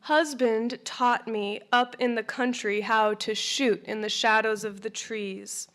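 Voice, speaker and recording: Woman poet, slightly high voice, slow measured reading. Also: fairly even intonation, a bit bright. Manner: measured, deliberate. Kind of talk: poetry reading.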